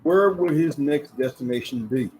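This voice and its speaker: A man's voice: a little high, animated, moderate pace, a little mellow, slight background noise, rough recording. A man in his fifties hosting a casual sports talk show, chatty and folksy, leaning on words for emphasis. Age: 50s. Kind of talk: casual sports commentary vlog. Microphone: camera setup with ring light.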